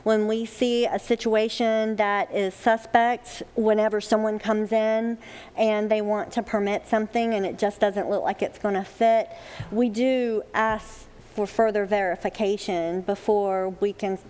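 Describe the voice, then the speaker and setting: A woman on the zoning staff, calmly explaining permit checks at a public meeting. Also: medium pitch, unhurried. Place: council meeting chamber. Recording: reverberant meeting hall.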